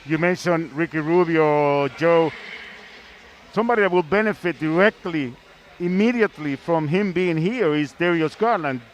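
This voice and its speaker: A man's voice: fairly high pitch, moderate pace. A man hosting a live sports discussion, often repeating phrases as he builds up to his questions. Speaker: sports broadcast host. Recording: faint background noise.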